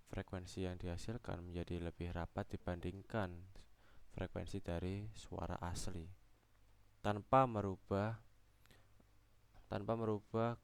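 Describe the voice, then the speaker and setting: Young male student presenter. Smooth, lowish voice, halting step-by-step technical explanation. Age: young adult.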